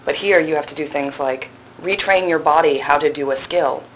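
A young woman in her twenties with a low, resonant voice, a drama graduate student answering interview questions thoughtfully, with frequent pauses and restarts. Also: background noise. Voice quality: low voice with strong body resonance. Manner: thoughtful, hesitant, many fillers and restarts. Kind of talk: reflective conversational interview.

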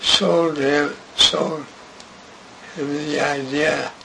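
An older man recalling his radio career in an interview, speaking haltingly and restarting often. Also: a little high, flat delivery, creaky. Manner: slow, halting, with many restarts. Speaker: interviewee, radio writer and director. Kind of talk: reminiscing interview. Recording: noisy recording.

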